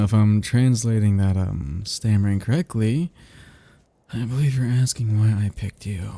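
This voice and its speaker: A man playing an ancient, flirtatious, possessive vampire in an intimate ASMR roleplay, speaking to the listener softly and huskily in a halting, teasing, casually menacing way. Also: on the low side. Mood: infatuated, creepy. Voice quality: soft, husky, gravelly.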